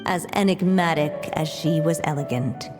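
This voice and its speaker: A woman playing a gentle storybook narrator, telling a fairy tale in measured, lyrical phrases with reverb. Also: sits low, over music, lightly acted. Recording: long reverb on the voice.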